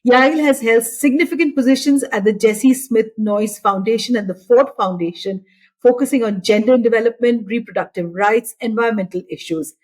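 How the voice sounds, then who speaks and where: A woman's voice: on the high side, moderate pace, darkish tone, rough recording. A woman hosting an interview show, giving an effusive, formal introduction of her guest. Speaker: show host.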